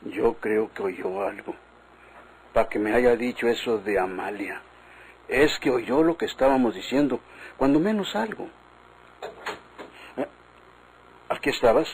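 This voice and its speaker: An actor playing an anxious, deferential Mexican village husband in a vintage radio drama, cautious and meek, on a noisy old recording. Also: male voice, medium pitch, a little slow, long pauses, a little mellow, close to an everyday voice. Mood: nervous, scolding.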